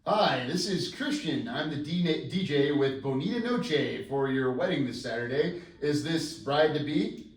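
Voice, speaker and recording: A man's voice: on the high side, animated, unhurried. A man reading the part of a polite wedding DJ calling a client in a sitcom script. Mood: polite, upbeat. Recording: echoey room, distant mic, faint noise.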